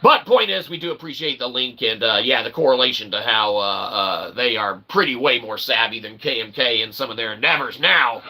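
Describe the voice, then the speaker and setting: A man hosting a casual, rambling livestream, self-deprecating and excitable, speaking of himself as "we.". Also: medium pitch, brisk, few pauses. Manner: refers to himself as "we". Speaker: livestream host. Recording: clearly noisy background ambience.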